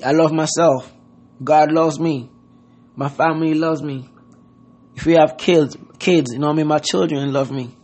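Earnest man, slightly high voice. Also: steady tone, moderate pace, a little mellow. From Haiti. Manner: earnest, repeats words, loose grammar, many "you know what I mean". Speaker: podcast host. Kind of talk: casual motivational monologue.

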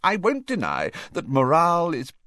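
Man acting a flustered, defensive government minister, pitched high. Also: huge pitch swings, normal speed, a bit bright, no room sound, studio quality, full character voice. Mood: miserable, weary. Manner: agitated, emphatic, wide swooping delivery. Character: flustered, defensive, weary older male government minister of magic.

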